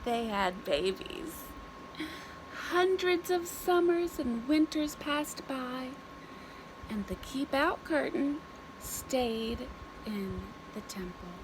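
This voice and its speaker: A woman reading a story aloud to young children in an expressive, deliberate way, with simple phrases and dramatic pauses. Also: sits high, background noise.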